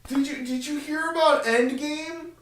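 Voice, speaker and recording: A man's voice: very high voice, steady tone, a little slow, a bit bright. A young man co-hosting a casual podcast, reading an article aloud and joking with frequent laughs. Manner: joking, laughs often, mock-reads quotes. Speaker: podcast co-host. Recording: echoey room, distant mic.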